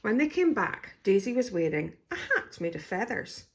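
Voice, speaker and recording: A woman's voice: mid-range voice, sing-song, normal speed, a little hiss or hum. A woman narrating a children's story expressively as a storybook reader.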